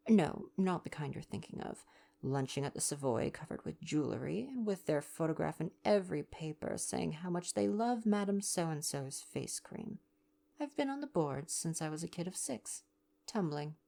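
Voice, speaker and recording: A woman's voice: sits low, expressive, normal speed, creaky, dark tone, full character voice. A woman voicing a cheeky, slangy young American-born actress and acrobat in a read-aloud novel. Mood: chatty, proud. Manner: slangy, brash, playful.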